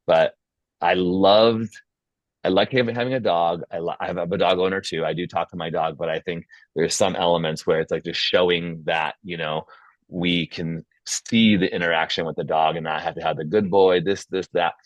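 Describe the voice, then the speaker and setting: Casting: male moderator, deep voice, informal and encouraging, dull call audio. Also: fairly even intonation, normal speed, no echo. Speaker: host moderating a script feedback session. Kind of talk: casual facilitation and critique. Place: online video call.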